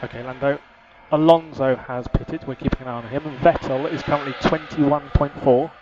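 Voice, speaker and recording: A man's voice: medium pitch, normal speed. Man on noisy race team radio. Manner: calm, clipped, repeats instructions. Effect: team radio filter. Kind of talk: terse, instructional radio messages. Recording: muffled, noisy motor-racing team radio.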